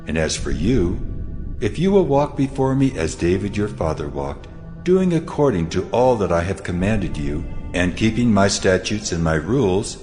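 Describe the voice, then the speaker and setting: A man playing a solemn, majestic God in a dramatized scripture reading, speaking with measured authority. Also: sits low, very animated, darkish tone. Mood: solemn, promising. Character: God, solemn majestic male deity speaking promise and warning. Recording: background music under the voice.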